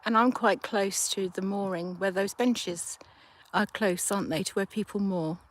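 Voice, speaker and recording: A woman's voice: medium pitch, steady tone. A woman, hosting a casual livestream outdoors by a river and chatting with viewers through a handheld phone. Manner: slow, chatty, thinking aloud. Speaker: livestream host. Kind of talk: casual chat with live viewers.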